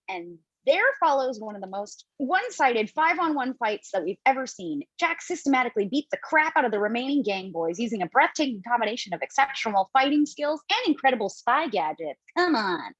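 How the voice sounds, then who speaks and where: A woman reads the stage directions in a table read, brisk and casual with a playful streak. Her voice is slightly husky and fairly high. The home mic is dull and dry. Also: dry recording. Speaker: narrator reading stage directions.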